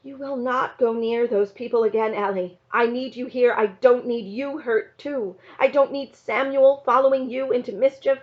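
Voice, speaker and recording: A woman's voice: fairly high pitch, steady tone, normal speed, hardly any pauses, natural voice. A woman reading the part of a stern, worried country mother in a storybook, with firm, emphatic commands. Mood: firm, exasperated. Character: stern, worried rural mother scolding her child. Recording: slightly dull, lo-fi sound.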